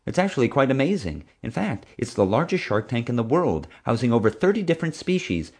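A man's voice: medium pitch, sing-song, brisk, husky, voice pushed up. A man voicing an eager, nerdy schoolboy with an earnest, inquisitive, chattering manner in an audiobook story. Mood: earnest, meek. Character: eager, nerdy schoolboy animal-park fanatic.

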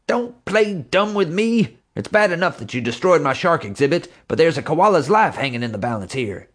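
A man voicing a furious, blustering older zoo tycoon who rants loudly in a raised, folksy voice. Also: on the high side, sing-song, moderate pace, voice pushed up. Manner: ranting, folksy, loud and raised.

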